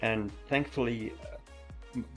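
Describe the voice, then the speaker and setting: A middle-aged man with a stutter who repeats words, talking softly and openly as a podcast guest. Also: medium pitch, steady tone, measured pace, soft background music. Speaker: podcast guest, mountain biker. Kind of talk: casual interview chat.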